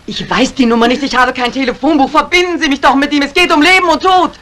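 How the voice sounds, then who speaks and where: An actress playing a resolute, unflappable mystery writer, speaking briskly and with command in vintage thriller style. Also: female voice, sits high, a bit bright. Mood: urgent, insistent. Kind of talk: 1950s German dubbed thriller acting. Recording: faintly noisy vintage soundtrack.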